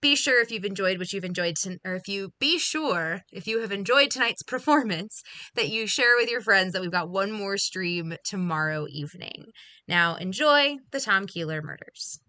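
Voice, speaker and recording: A woman hosts in a casual livestream manner. Her voice is bright, and the sound is dry, with little room around it. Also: medium pitch, expressive, moderate pace, dry recording. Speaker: host.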